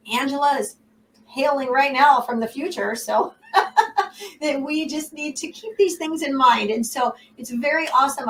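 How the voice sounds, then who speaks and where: A woman's voice: high voice, a bit bright. Upbeat american lady hosting a web talk show, kinda far off the mic, faint hum behind. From United States. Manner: effusive, enthusiastic. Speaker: show host.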